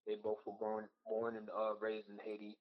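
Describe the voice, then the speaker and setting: A man's voice: lowish voice, monotone, moderate pace, lo-fi sound. A man who grew up in the United States, a podcast guest serving in the Marines, talking through his thoughts in an earnest, reflective way and often checking in with "right?" and "you know.". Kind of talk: casual podcast conversation.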